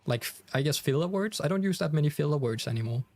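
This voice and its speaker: A man's voice: a little high, animated, fast talker, dark tone. A young man with a Danish accent, casually chatting with viewers on a game stream, full of fillers and restarts. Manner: chatty, many fillers and restarts, reads chat aloud. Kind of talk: casual live stream chat.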